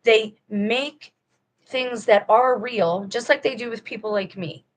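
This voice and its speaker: A woman's voice: a little high, a little slow, slight background noise. A woman talking straight to her viewers in a casual, rambling monologue, firm and preachy in tone. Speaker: vlogger.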